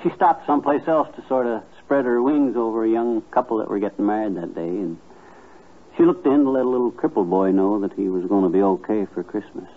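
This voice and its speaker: A man's voice: lowish voice, a little fast, close to an everyday voice. An actor playing a kindly, folksy man telling stories to a child in homespun, hesitant speech, in 1950s radio comedy style. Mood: tender. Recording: muffled vintage 1950s radio recording.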